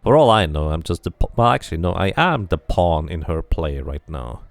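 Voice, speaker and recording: A man's voice: deep voice, expressive, moderate pace, gravelly, no room sound. A man casually commenting on his game as he plays, musing and thinking aloud. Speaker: game streamer. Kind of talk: casual let's play commentary.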